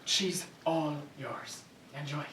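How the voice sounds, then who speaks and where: A woman's voice: low voice, takes it slowly, a bit bright. A woman playing an exasperated, sarcastic male hotel manager with a Spanish accent in a staged cartoon reading. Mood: resentful. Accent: Spanish, put on for the role. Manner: aggrieved, sarcastic complaining. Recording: echoey room, distant mic, faint noise.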